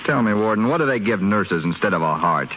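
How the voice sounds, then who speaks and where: A man's voice: on the low side, animated, fast, close to an everyday voice. An actor playing a spoiled, restless young rich man in a hospital, sardonic and wisecracking, in 1930s radio drama style. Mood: flippant. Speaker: hospital patient. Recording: tinny, faintly hissy 1930s radio broadcast.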